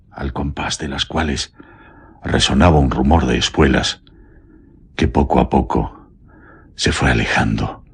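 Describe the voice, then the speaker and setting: An actor with a Castilian accent playing a terrified, guilt-ridden prison warden confessing haltingly in a radio drama. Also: male voice, very deep voice, husky, exaggerated voice. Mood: haunted, fearful. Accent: Castilian Spanish. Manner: halting, trembling, pleading. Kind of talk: Spanish radio drama acting.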